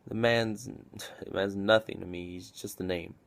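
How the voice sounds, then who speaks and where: A man's voice: lowish voice, steady tone, a little slow, gravelly. A man playing a weary, reflective army captain in a film drama monologue, subdued, hesitant and confessional.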